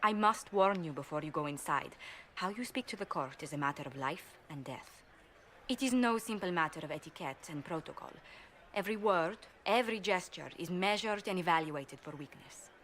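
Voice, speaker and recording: A woman's voice: fairly low pitch, animated, normal speed, a bit bright, a little hiss or hum. An actress playing a shrewd, poised woman diplomat in a video game, speaking precisely, formally and earnestly. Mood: urgent warning.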